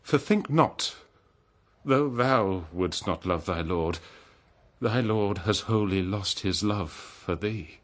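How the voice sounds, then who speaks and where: Slow, deep, anguished king. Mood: wounded, intense. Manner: solemn, declamatory, archaic diction with long pauses. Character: betrayed noble old-world king, anguished, forgiving husband. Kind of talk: dramatic verse monologue, classical radio-drama acting.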